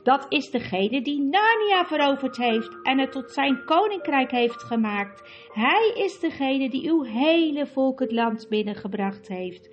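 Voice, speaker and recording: A woman voicing a small, old and kindly tutor who speaks gravely and measuredly. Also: sits high, lively intonation. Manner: grave, measured, with a hint of fun. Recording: dull lo-fi with faint music.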